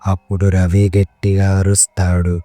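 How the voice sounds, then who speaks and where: Low guy telling a scary story, really even and slow, music behind him. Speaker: narrator. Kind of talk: scripted horror story narration.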